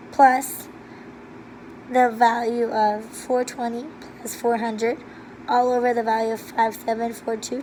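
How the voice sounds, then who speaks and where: A woman whose voice is hoarse and worn from laryngitis talks through a recorded presentation, one step after another. Her voice sits a little high and hardly rises or falls. A faint hiss lies under her words. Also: rough recording. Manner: steady, methodical, step-by-step. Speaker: student presenter. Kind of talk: recorded slide walkthrough.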